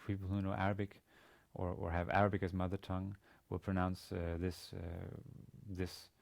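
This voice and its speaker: A man in his forties, a native Danish speaker with a Danish accent, giving an instructional lecture in a halting, hesitant manner with repeated words. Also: sits low, flat delivery, gravelly. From Denmark. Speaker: university language teacher. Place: indoor studio with plain grey backdrop.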